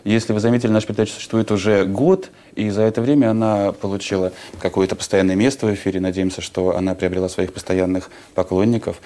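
A man's voice: fairly low pitch, steady tone, quick pace, few pauses, dark tone. A man hosting a music television programme, addressing the viewers directly.

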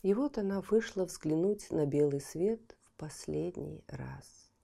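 A woman narrating a children's fairy tale audiobook, telling it expressively and dropping to a hushed voice at times. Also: deep voice, lively intonation, unhurried, vocal fry.

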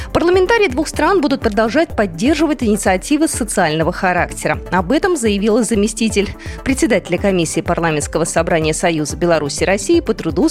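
A woman's voice: a little high, a little mellow. A woman presenting news, reading a script as a studio newsreader.

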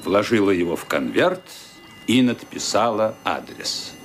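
A man's voice: fairly low pitch, very animated, unhurried, background noise. A man delivering dramatic scripted narration as the narrator of a radio play.

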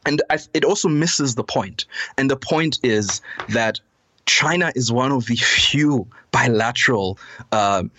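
A man from Zambia with a Zambian accent, speaking as a guest commentator in an earnest, measured way that builds to emphatic points. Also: medium pitch, bright, forceful tone, slight background noise. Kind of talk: conversational analysis on a podcast.

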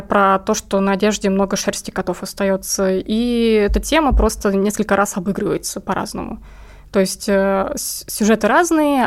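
Female interviewee, medium pitch, informal conversational storyteller. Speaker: interviewed comic artist and cat owner.

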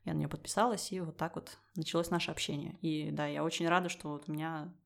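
A young woman from Russia with a fairly low voice chats in a relaxed, thoughtful way, stopping often to search for words and breaking into light laughter. She speaks as a podcast guest in casual conversation. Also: fast, vocal fry. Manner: thoughtful, many fillers and laughs.

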